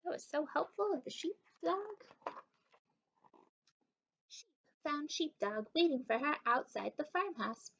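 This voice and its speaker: A young woman reads a picture book to little children. Her voice is high and swings widely in pitch, expressive throughout. The webcam sound is slightly dull. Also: normal speed, gravelly, slight background noise. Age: young adult. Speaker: story time reader. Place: living room indoors.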